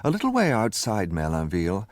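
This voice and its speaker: An actor with a British accent narrating a detective story in a radio drama, measured and literate. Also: male voice, on the low side, huge pitch swings, no room sound, studio quality. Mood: measured recollection.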